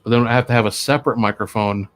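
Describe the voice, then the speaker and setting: A man's voice: fairly low pitch, steady tone, rapid-fire, a bit bright. A man hosting a paranormal talk show from a small studio, rambling with lots of fillers and restarts. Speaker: podcast host. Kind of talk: casual chat. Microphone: studio microphone.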